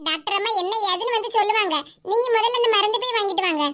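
A woman's voice: very high voice, rapid-fire, bright, forceful tone. A woman voicing a curt, businesslike hospital nurse.

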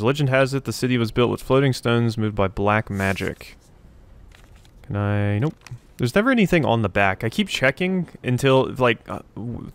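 A man with a clean, smooth voice at an average pitch hosts a gaming video. He talks casually, working things out aloud as if to himself. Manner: thinks aloud, talking to himself and the game. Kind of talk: casual let's play commentary, reading game notes aloud.

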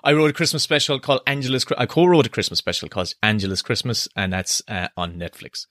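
A man with a softened Cork country accent, co-hosting a casual podcast in a playful, self-deprecating way. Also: mid-range voice, fairly even intonation, quick pace. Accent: Irish, Cork country accent softened by years away. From Ireland, Cork. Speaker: podcast co-host, screenwriter. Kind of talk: casual chat.

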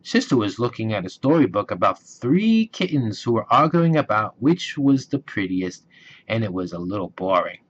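A man reading a children's bedtime story aloud with deliberate, gentle, dramatic emphasis. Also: lowish voice, very animated, slightly rough, dull audio. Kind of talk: expressive bedtime story narration.

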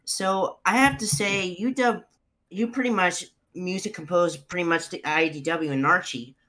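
A man's voice: high-pitched, moderate pace, pushed and bright. A man chatting casually as a fan putting questions to a panel. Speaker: fan asking questions, crew member. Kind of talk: casual chat.

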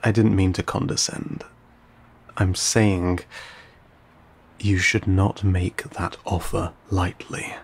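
A man playing an ancient, courteous, guarded vampire in an intimate audio roleplay, speaking in formal, old-fashioned, measured phrases with some hesitation. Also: level tone, exaggerated voice. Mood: cautioning, stern. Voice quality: low, gravelly, breathy.

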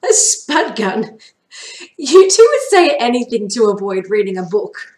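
A woman's voice: mid-range voice, sing-song, a little slow, darkish tone, recorded from a distance. A woman voicing a protective, fond but firm mother in a storybook, emphatic and scolding. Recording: echoey home room.

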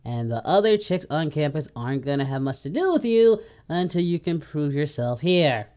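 A man's voice: a little high, big pitch swings, moderate pace, darkish tone. A man doing playful casual game commentary as the host, in a cartoonish character voice, exclaiming and muttering. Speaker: gaming host.

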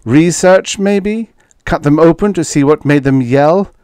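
A man's voice: medium pitch, very animated, normal speed, a little mellow, no room sound, studio quality, pitched above the performer's own voice, lightly acted. A man playing a tough, sarcastic diplomat in an audiobook, pressing and snapping with blunt accusations. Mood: angry accusation. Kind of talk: audiobook character dialogue.

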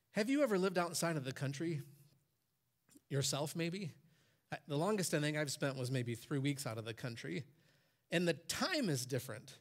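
Animated preaching man, fairly high voice. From Michigan, USA. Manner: animated preaching, voice rising for quoted commands. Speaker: pastor. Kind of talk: sermon. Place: church stage.

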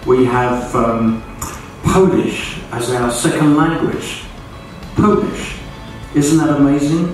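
An older man from the United Kingdom giving a formal speech at a podium in a large school auditorium, reading from notes at a measured pace. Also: medium pitch, a little mellow. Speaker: guest speaker. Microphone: podium mic from far camera. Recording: echoey hall, far from mic.

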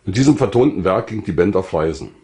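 A man's voice: sits low, expressive, quick pace, dark tone. An older man in his sixties or beyond, from eastern Germany, reading a scripted autobiographical narration. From Lusatia, eastern Germany. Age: 60s or older. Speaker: writer and former pastor telling his own story.

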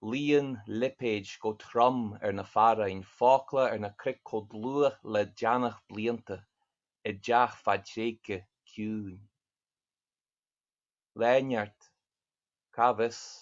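A man's voice: fairly low pitch, dull audio. A man. He is a poet reading his work and introducing it in an earnest, thoughtful way from a home study over a video call. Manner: earnest, thoughtful, reads verse slowly and deliberately. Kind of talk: poetry reading with spoken introductions. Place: home study lined with bookshelves, indoors. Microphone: video call webcam.

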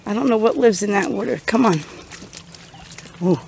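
A woman vlogger casually narrating a nature walk outdoors, musing aloud in a halting, unscripted way. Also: medium pitch, vocal fry, a little mellow. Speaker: vlogger and walking guide. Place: outdoors on a woodland trail. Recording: outdoor noise, slightly muffled at times.

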